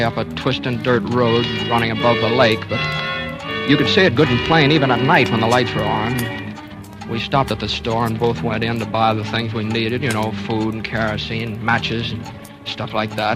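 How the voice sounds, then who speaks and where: An actor in a 1940s radio drama, narrating and playing a doctor in a tense, confiding manner. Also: male voice, mid-range voice, natural voice, rough recording. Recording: old radio broadcast with music beneath.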